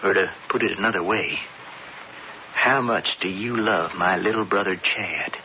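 An actor playing an intense, persuasive young outlaw in a radio western, with folksy frontier talk. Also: male voice, low-pitched, unhurried. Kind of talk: radio western drama acting. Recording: muffled, very noisy old radio recording.

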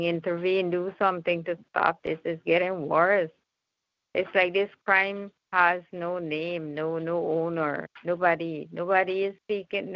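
A woman's voice: on the low side, gravelly, no room sound. A woman praying aloud in a fervent, unscripted style, pleading and repeating her appeals, on dull lo-fi audio. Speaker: prayer group member leading prayer. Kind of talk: fervent extemporaneous prayer.

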